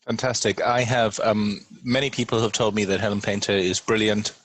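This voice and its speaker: A man with a fairly low voice hosts a relaxed podcast chat. He is playful and jokey as he talks to his listeners and friends. The sound is dry, with very little room in it. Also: moderate pace, no room sound, low-quality recording. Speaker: podcast host.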